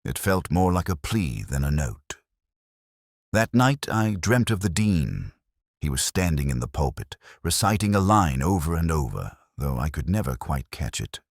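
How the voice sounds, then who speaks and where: A man reading a scripted first-person detective story in a measured, brooding, literary manner. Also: a bass voice, very animated, no room sound, clean studio sound. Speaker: narrator.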